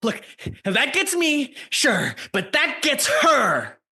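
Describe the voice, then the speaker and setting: A man's voice: extremely high pitch, huge pitch swings, takes it slowly, sharp tone, slightly rough, voice pushed up, exaggerated voice. A man playing a threatening, agitated male bully in an improvised radio play, barking urgent, repeated demands. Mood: frantic demanding.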